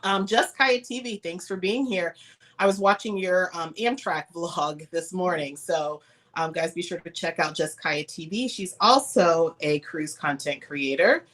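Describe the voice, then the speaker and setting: A woman's voice: lowish voice, measured pace, fairly bright tone. A woman hosting a casual livestream chat who sounds congested and nasal from a cold, greeting viewers with frequent "um"s. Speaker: host and travel advisor.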